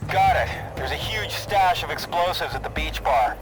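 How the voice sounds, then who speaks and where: An actor playing a brash military jet pilot in a video game, giving clipped, forceful radio callouts. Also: male voice, fairly high pitch, lively intonation, normal speed, natural voice, low-quality recording. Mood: brisk, combative. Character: brash, foul-mouthed military jet pilot, adult man. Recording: loud background music.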